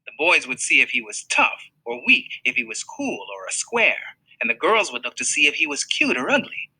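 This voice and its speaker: A man reading a children's novel aloud in an expressive storytelling manner. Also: on the high side, moderate pace, slightly rough, low-quality recording. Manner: expressive storytelling, voices for characters. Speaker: reader.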